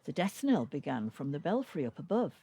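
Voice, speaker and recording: Woman, folk storyteller, soft mellow tone, lively rise and fall, dramatic pauses. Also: sits low, breathy edge. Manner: dramatic, deliberate phrasing with pauses. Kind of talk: traditional folk tale storytelling, read aloud.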